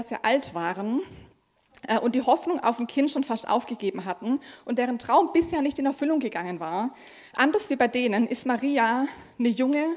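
Junge Predigerin, etwas hohe Stimme. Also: female voice, fairly high pitch. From Germany. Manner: conversational, with fillers and laughs. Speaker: pastoral trainee preacher. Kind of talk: sermon with scripture reading.

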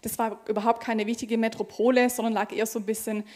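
A young woman from Germany preaching in a conversational tone, her voice a little high. She reads a scripture passage first, then speaks freely and casually, with small fillers along the way. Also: flat delivery, fast, darkish tone. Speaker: pastoral trainee preacher. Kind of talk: sermon with scripture reading.

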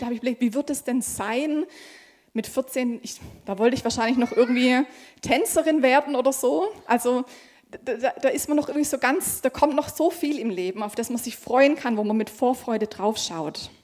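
A woman's voice: high voice, a little mellow. A young woman from Germany, a trainee preacher, reading scripture and then preaching in a conversational way with fillers.

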